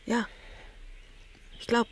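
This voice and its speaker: A woman acting a genteel young lady of the manor in a radio play, polite and measured, with quiet insistence. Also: a little hiss or hum. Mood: hesitant, tired.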